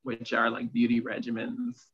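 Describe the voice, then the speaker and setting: A man's voice: mid-range voice, very animated, moderate pace. A man in his late thirties speaking candidly as a podcast guest, confiding and emphatic, on slightly muffled audio. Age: late 30s. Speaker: podcast guest, empowerment coach. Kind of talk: candid personal conversation.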